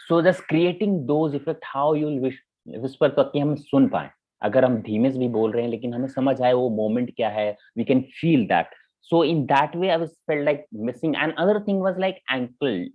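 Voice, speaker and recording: A man with an Indian accent giving informal spoken feedback as a mentor, halting and repeating himself, often checking in with "you know". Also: mid-range voice, animated. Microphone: video call. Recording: muffled, band-limited online call audio.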